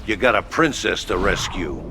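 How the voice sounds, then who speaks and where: An actor playing a gruff, blunt headquarters officer in a video game, giving clipped, commanding mission updates. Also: male voice, deep voice, big pitch swings, normal speed, very noisy, full character voice. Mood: urgent. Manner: clipped, commanding military radio talk. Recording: loud game music behind.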